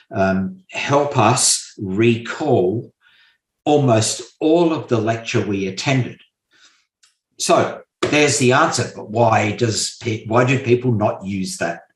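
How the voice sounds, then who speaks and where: A man giving slow, deliberate explanations as an interview guest, repeating key points with hesitant fillers. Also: mid-range voice. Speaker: guest expert on memory and mind maps. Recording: roomy echo.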